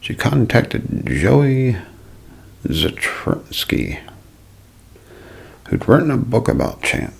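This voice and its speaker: Hushed, gravelly man telling ASMR mysteries. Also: mid-range voice, big pitch swings, a little hiss or hum. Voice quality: breathy, hushed half-whisper. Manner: slow, deliberate, broken into short phrases. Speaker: ASMR narrator. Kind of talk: ASMR mystery storytelling, scripted narration.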